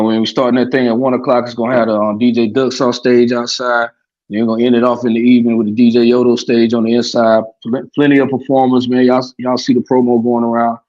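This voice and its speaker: A man's voice: mid-range voice, a little mellow. A man on a podcast talking casually and loosely, swearing and dropping lots of "you know what I mean". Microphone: remote stream call. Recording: dull lo-fi sound.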